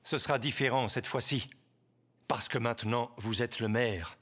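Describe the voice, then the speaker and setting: A man's voice: mid-range voice, normal speed, fairly bright tone, lightly acted. A man voicing a scheming, deferential henchman in a French cartoon dub, coaxing his boss in formal terms.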